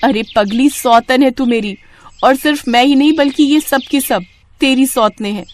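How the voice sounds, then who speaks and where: A woman's voice: high voice, normal speed, lightly acted. A woman voicing a knowing, bitter, worldly abandoned wife in melodramatic story dialogue. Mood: bitter, scornful.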